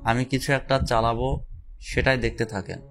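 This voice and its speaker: A man voicing a calm, firm politician uncle. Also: medium pitch, a little fast, creaky, faint music behind. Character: calm loyal middle-aged male politician, the uncle.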